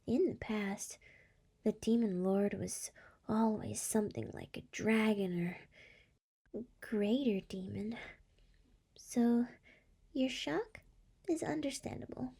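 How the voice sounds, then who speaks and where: A woman voicing a seductive, scheming succubus demon lord in an ASMR roleplay, breathy and soft, whispering with a teasing purr close to the microphone. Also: a little high, slow pace, pauses often, exaggerated voice. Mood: teasing, smug.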